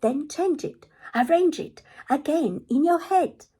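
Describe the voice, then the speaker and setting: A woman's voice: high-pitched, animated, slow, warm tone, voice pushed up. A woman voicing a bold, bookish young girl in a children's storybook, earnest and questioning. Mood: earnest, persuasive.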